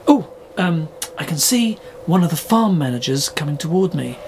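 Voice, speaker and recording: A man's voice: a little high, lively intonation, moderate pace, a little mellow, a little hiss or hum. A man playing an earnest, polite university podcast host in a scripted audio drama.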